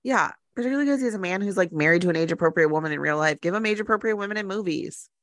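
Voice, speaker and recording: A woman's voice: fairly low pitch, rapid-fire, a bit bright, dry recording, clean studio sound. A woman co-hosting a podcast, rambling casually about a film with lots of "like" and "um". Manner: chatty, rambling, with frequent likes and ums. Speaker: podcast co-host.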